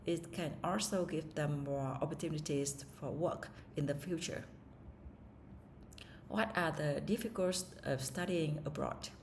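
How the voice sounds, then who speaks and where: A woman's voice: sits low, measured pace, a little hiss or hum. A woman whose first language is Vietnamese, practicing English speaking-test answers aloud, asking herself the questions and answering with hesitations and restarts.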